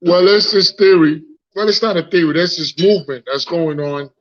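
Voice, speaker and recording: A man's voice: high voice, steady tone, normal speed. A man in his forties from Haiti with a Haitian accent, a guest speaking frankly in a live interview and often repeating words as he searches for them. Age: 40s. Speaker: guest, founder. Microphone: phone. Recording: lo-fi phone audio.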